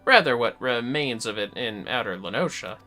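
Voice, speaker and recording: A man's voice: medium pitch, expressive, normal speed, pushed and bright, soft background music. A man voicing a formal, learned fantasy game scholar, reading dialogue theatrically in archaic wording. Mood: learned, pondering.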